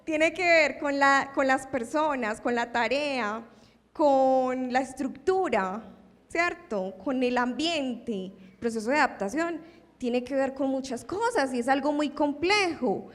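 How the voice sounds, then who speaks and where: A woman giving a talk at an academic conference, lively and full of rhetorical questions to her audience. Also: high voice, takes it slowly. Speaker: lecturer. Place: lecture hall. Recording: long hall reverb.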